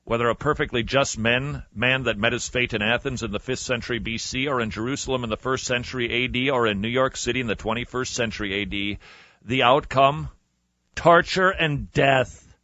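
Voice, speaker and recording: Male radio storyteller, lively and sharp, emphatic with dramatic pauses; dry, slightly muffled podcast sound. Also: medium pitch, dry recording. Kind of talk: animated storytelling with reading and commentary.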